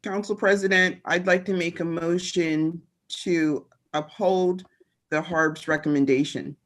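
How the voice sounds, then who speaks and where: A woman city councilor on a video call who asks questions slowly and carefully, pausing often. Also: on the low side, steady tone. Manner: slow and careful, with pauses and fillers. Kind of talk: meeting discussion.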